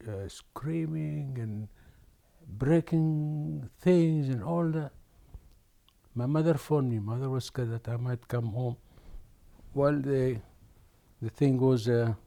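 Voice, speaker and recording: An older man from Aden, Yemen, slowly recalling memories in an oral history interview, with many pauses and restarts. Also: medium pitch, creaky, warm tone.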